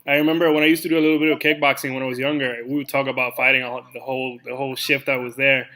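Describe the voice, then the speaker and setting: A man's voice: mid-range voice, little pitch movement, rapid-fire, pushed and bright, rough recording. A man hosting an interview, enthusiastic and laughing along with his guest. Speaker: podcast host, interviewer. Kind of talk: casual interview conversation.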